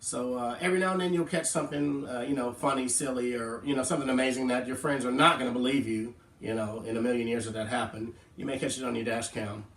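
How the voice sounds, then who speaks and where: A man, sick and hoarse with flu, talking casually to camera in a rambling way with lots of fillers and wry asides. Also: medium pitch, fairly even intonation, fast talker, hardly any pauses, fairly bright tone, reverberant, far from the mic, poor audio. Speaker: vlogger. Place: indoors at home.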